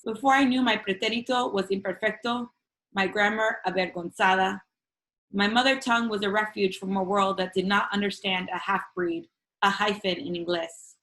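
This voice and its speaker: A woman's voice: mid-range voice, fairly even intonation, fairly bright tone. Woman reading her own poetry, earnest. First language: Spanish. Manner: measured, earnest reading with casual chatty asides. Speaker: featured poet. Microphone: video call.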